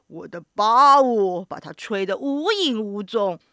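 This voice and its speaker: A woman voicing a loud, indignant male elephant in a children's story, booming and insistent. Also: very animated, slow, no room sound, studio quality, heavily acted. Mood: trumpeting.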